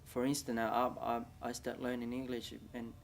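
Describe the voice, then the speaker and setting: A man with a Vietnamese accent recalling his life in halting, second-language English, with frequent restarts. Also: mid-range voice, flat delivery, vocal fry, slight background noise. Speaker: interviewee. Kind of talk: casual recollection.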